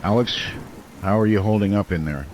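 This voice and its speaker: A man playing a steady small-town radio host who delivers grave, urgent emergency updates in an audio drama. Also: fairly low pitch, fairly quick, muffled recording, natural voice. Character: steady small-town American male late-night radio host during werewolf siege. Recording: noisy, with rain-like hiss behind.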